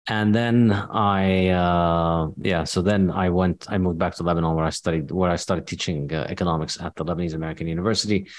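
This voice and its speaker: Deep-voiced economist from Palestine. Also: male voice, steady tone. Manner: measured, matter-of-fact, with frequent ums. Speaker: guest, economist and author. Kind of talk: interview answers. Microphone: studio mic on video call.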